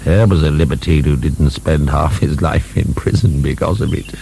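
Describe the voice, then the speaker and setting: An actor playing an embittered old marquis in a radio drama, sardonic and theatrical, his gravelly, breathy voice often dropping to whispers. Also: very deep voice, normal speed. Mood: sardonic, bitter. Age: older. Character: aging, embittered, feverish male libertine marquis. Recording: soft recording with background hum.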